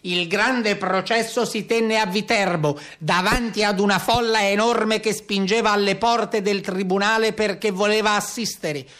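Radio drama: stern, proud official male actor, raised high pitch, forceful declamatory delivery. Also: fairly even intonation, unhurried, hardly any pauses. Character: stern official proudly recounting mass arrests of brigands' helpers. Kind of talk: theatrical monologue.

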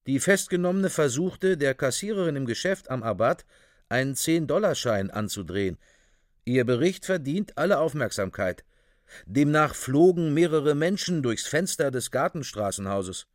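A man's voice: fairly high pitch, lively intonation, normal speed, no echo, clean studio sound. A man narrating a radio play from a script in a clipped, official, report-like manner.

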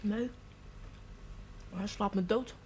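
A woman's voice: mid-range voice, slow pace, dark tone, slight background noise, lightly acted. An actress playing a naive, cheeky and stubborn young village girl in a television period drama, blunt and artless. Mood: blunt, defiant.

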